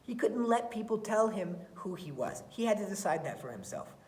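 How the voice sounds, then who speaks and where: Expressive woman teacher in an echoey room. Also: medium pitch, moderate pace. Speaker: teacher reading aloud to students. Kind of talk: expressive read-aloud and lesson talk for children. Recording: roomy, echoey sound.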